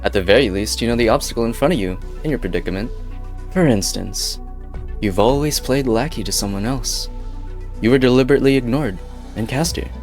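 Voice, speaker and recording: A man playing a smooth-talking, scheming corporate businessman with a theatrical, coaxing manner in an amateur game dub. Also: medium pitch, fairly quick, darkish tone, close to an everyday voice. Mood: persuasive. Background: background music.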